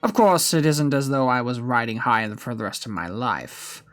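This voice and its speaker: A man voicing an aged gentleman villain from a game story, gleefully wicked and sentimental, in a pompous theatrical manner. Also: medium pitch, expressive, normal speed, soft background music, natural voice. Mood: musing, theatrical. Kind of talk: dramatic reading of game story dialogue.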